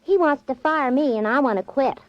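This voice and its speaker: A woman's voice: high voice, a little fast, close to an everyday voice. An actress playing a perky, cheeky young newspaper office girl in a 1940s radio drama, with a coaxing manner. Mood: coaxing, playful. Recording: dull 1940s radio recording.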